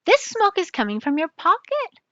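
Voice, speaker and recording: An older woman voicing a calm, clever male detective in a children's storybook, speaking in a measured, slightly lower voice. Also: no room sound, heavily acted. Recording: dull lo-fi dry recording.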